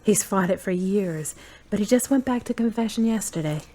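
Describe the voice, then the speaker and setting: A woman playing a wry, thoughtful grown daughter in an audio drama, measured and dry. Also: medium pitch, lively intonation, dark tone, natural voice. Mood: guarded, unsure. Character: wry, guarded adult daughter, thoughtful and independent.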